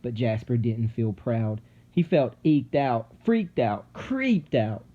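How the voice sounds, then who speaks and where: A man reading a children's story aloud dramatically, with suspenseful, theatrical delivery and deliberate pauses. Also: medium pitch, sing-song, dark tone, muffled recording, slight background noise. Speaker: storybook narrator. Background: music.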